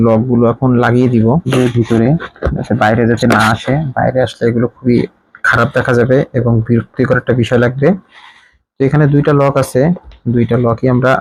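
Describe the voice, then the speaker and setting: A man's voice: mid-range voice, poor audio. A man with a Bangladeshi accent casually explaining an installation step by step as a product reviewer, often repeating himself. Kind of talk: hands-on installation tutorial, casual spoken demonstration.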